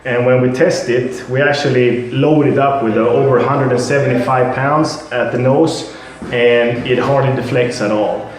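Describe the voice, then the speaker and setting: A man with a Swedish accent, a product representative, explaining and demonstrating his product with halting speech, fillers and repeated words. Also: mid-range voice, fairly even intonation. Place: building site at a house. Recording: very echoey.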